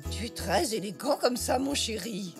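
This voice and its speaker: A woman's voice: on the high side, normal speed, over loud music. A woman playing a kindly, encouraging zebra grandmother in a French children's cartoon. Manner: gentle, expressive, encouraging.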